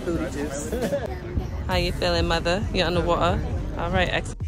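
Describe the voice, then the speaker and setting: A woman's voice: fairly low pitch, fairly even intonation, fairly quick. A young woman from Washington, D.C. chatting casually to her viewers as she vlogs her weekend, filmed on a phone held at arm's length. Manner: chatty, laughing, talks straight to viewers. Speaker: vlogger. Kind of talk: casual vlog chat. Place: outdoors on a busy city waterfront. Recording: loud music and crowd behind.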